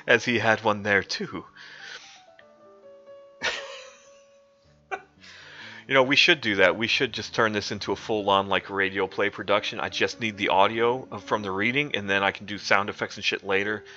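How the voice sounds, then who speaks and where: A man's voice: lowish voice, steady tone, moderate pace, pauses often, bright, forceful tone. A young man streaming a mock-dramatic reading of a comic fanfic, often cracking up with laughter. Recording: dull lo-fi stream audio with faint music.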